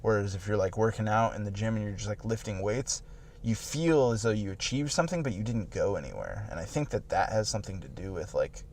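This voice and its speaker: Man, late twenties, a bit low-pitched, casual podcast chat, rambling with fillers. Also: expressive, a little fast, darkish tone. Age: late 20s. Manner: rambling, filler-heavy with "like" and restarts. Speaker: podcast co-host.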